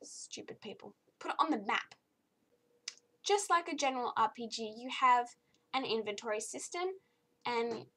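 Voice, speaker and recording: A young woman reviews a video game in a loose, chatty way, her fairly high voice a little croaky today. She gets easily exasperated and fills her talk with ums and restarts. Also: pushed and bright, slight background noise. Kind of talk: casual spoken game review.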